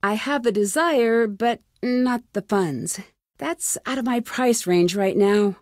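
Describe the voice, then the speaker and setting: A woman's voice: a little high, slow, a little mellow, dry recording, clean studio sound. An American woman playing a curious, plucky young amateur detective in a video game, talking to herself in short, wry remarks. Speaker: voice actor in a detective adventure game. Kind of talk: video game character lines.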